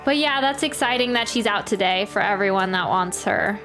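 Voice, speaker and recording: A woman's voice: medium pitch, normal speed, music in the background. A woman game streamer chatting casually and reacting on a livestream.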